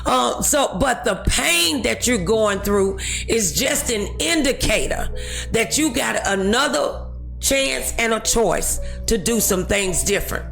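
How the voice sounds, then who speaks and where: A woman's voice: a little high, animated, slow, creaky, fairly bright tone, soft background music. A woman hosting a talk show, preaching encouragement to her audience in an emphatic, exhorting manner with scripture.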